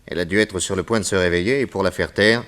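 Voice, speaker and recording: A brisk, authoritative detective in a radio play. His voice is low, with formal courtesy and insistent, probing questions. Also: a bit bright. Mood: commanding, probing. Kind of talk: theatrical radio detective drama acting.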